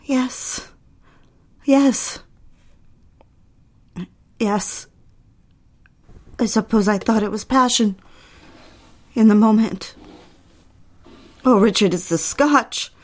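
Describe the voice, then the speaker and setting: An actress playing a fragile, jealous, lonely young wife in a period audio drama, pleading, hesitant and anxious. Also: female voice, on the high side, very slow, pauses often. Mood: shaken, apologetic.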